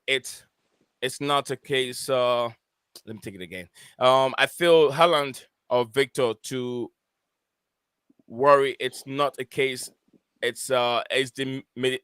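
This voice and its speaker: A man's voice: medium pitch, fairly bright tone, clear, resonant tone, no room sound. A man hosting a casual football live stream, hesitant and full of fillers as he reads out viewer comments. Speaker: host of a football podcast stream.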